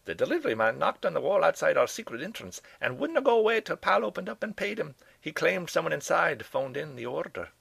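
A man voicing an excitable old male Scottish professor with a put-on Scottish accent, exclaiming in a high, rolling voice. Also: brisk, gravelly, hardly any pauses, slightly rough, dry recording, exaggerated voice. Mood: indignant.

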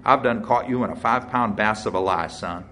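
A man voicing a smug, folksy small-town deputy who questions people in a forceful, accusing way for an audiobook. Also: fairly low pitch, a little fast, slight background noise, lightly acted.

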